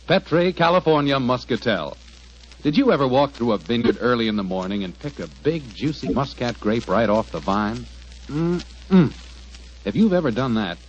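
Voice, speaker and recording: An American man announcing and selling on 1940s radio with folksy salesman patter. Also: mid-range voice. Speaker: radio announcer and sponsor pitchman. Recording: muffled 1940s radio recording. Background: music.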